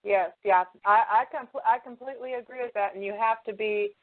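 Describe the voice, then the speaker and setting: A woman's voice: mid-range voice, steady tone, brisk, narrow, tinny audio. A woman hosting a casual interview, talking in a loose, hesitant, conversational way. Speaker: show host, interviewer.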